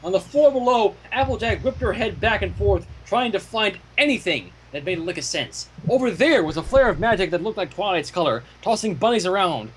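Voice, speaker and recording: A man reading fan fiction aloud as an expressive narrator, with casual asides. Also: high-pitched, brisk, few pauses, a little hiss or hum, rough recording.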